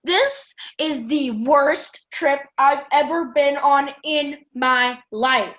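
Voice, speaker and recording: A woman's voice: high-pitched, slow pace, fairly bright tone, muffled recording. A young woman acting a whiny, self-pitying teenage girl on a camping trip in an amateur radio play. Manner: complaining, dramatic. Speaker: student radio play performer.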